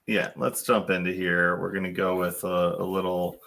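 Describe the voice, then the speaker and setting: A man co-hosting a casual fantasy baseball show, making dry, deadpan wisecracks and reading stats. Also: sits low, normal speed, vocal fry. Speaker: co-host.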